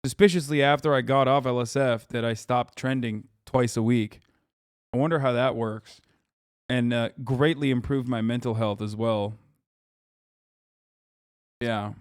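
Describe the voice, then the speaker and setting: A man's voice: mid-range voice, a little slow, pauses often, clean tone, dry recording, clean studio sound. Blunt, profane streamer man. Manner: profane, blunt, joking with chat. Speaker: streamer reacting to videos. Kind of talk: casual livestream chat.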